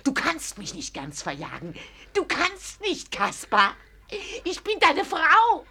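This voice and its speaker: An actress playing a bitter, witch-like old Malay wife in a radio play, pleading insistently in a husky voice that often drops to a hissing whisper. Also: high-pitched, huge pitch swings, slow pace, bright, forceful tone, full character voice. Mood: defiant, scornful. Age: older. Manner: insistent, nagging, repetitive. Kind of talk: German radio play acting.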